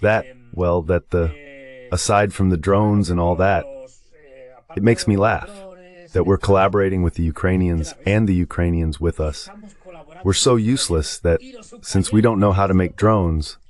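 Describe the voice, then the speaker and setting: Low-pitched man in translated political commentary. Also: normal speed, darkish tone.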